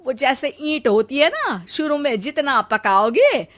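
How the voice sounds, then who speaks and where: A woman's voice: sits high, very animated, a little slow, very noisy, voice pushed up. A woman voicing a scolding, sarcastic, domineering mother-in-law in a cartoon story, with a bossy, dramatic delivery. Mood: bossy, scheming. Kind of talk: cartoon moral-story acting.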